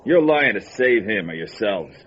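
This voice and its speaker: A man's voice: on the low side, big pitch swings, normal speed, fairly bright tone. An actor playing a cold, cocky hitman who talks tough gangster slang in an old-time radio thriller, menacing and sneering. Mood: cocky menace. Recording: dull, noisy lo-fi radio recording.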